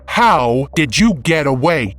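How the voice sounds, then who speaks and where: A man's voice: on the high side, very animated, takes it slowly, fairly bright tone, pitched below the performer's own voice, heavily acted. A man playing a sceptical police captain, blunt and slangy, like an old radio detective. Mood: pressing.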